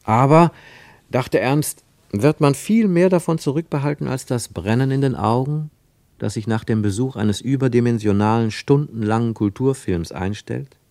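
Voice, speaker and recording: An actor playing a wry, observant narrator in a literary radio play, measured and expressive. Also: male voice, mid-range voice, no echo, natural voice. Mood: reflective.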